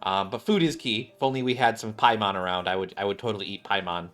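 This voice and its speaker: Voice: deep voice, fast talker, fairly bright tone, faint music behind. A streamer giving casual, joking, rambling commentary while playing a video game. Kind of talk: casual live commentary and story reading.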